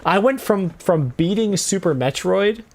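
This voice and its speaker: A man hosting a casual video game podcast, talking with animated, exasperated emphasis. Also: high voice, a little slow. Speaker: podcast host.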